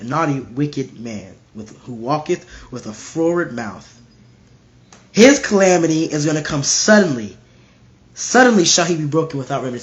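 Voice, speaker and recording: A man with a stuffy nose casually reading Bible verses aloud and explaining them in slangy, animated commentary. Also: a little high, highs cut off. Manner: animated, slangy, acts out voices.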